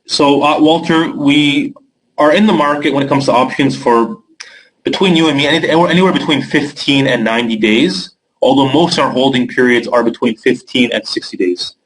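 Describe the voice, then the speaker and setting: A man's voice: mid-range voice, moderate pace, low-quality recording. Canadian man hosting a webinar. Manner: casual, answers viewer questions by name, filler words. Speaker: trading webinar host. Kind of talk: live webinar Q&A.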